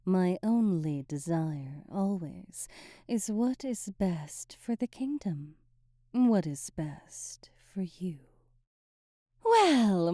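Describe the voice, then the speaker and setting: Woman playing a sly, dark-voiced silky sorceress. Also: fairly low pitch, dry recording, studio quality, full character voice. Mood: silky, conspiratorial. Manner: theatrical, teasing, insinuating, ornate formal diction. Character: sly, silky, manipulative female sorceress advisor to a queen. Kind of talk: ASMR fantasy audio roleplay.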